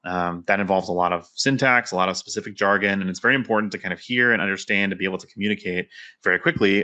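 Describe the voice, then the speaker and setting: Fast-talking low-voiced man presenting. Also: bright, forceful tone. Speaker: conference speaker, developer and pilot. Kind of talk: technical conference presentation, conversational explaining.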